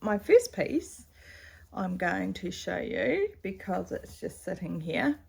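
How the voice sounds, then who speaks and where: A middle-aged woman with a slightly croaky voice, chatting casually at home about her cross-stitch projects with a rambling, chatty manner, recorded on a camera's built-in mic. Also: mid-range voice, very animated, takes it slowly. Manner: chatty, rambling, with fillers and asides. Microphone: camera's built-in mic, no external microphone.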